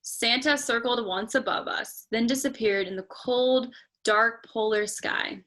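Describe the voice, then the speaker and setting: A woman's voice: fairly high pitch, unhurried, fairly bright tone. A woman reading a children's picture book aloud expressively, with chatty asides spoken directly to young listeners. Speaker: storytime reader.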